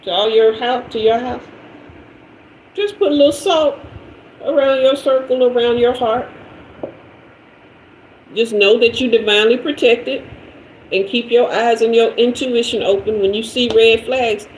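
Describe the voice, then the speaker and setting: A woman's voice: a little high, fairly even intonation, unhurried, pauses often. Chatty woman in her fifties, roomy echo. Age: 50s. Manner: loose, chatty, slangy and conversational. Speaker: tarot reader hosting a livestream. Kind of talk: casual livestream chat reading comments. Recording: roomy echo with faint noise.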